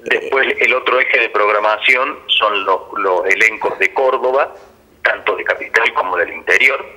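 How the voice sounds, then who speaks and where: A middle-aged man with an Argentine accent explains his work in a radio interview by phone. His voice sits a little low in pitch, yet it is very bright and forceful in tone. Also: moderate pace, poor audio. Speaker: theatre director, interview guest.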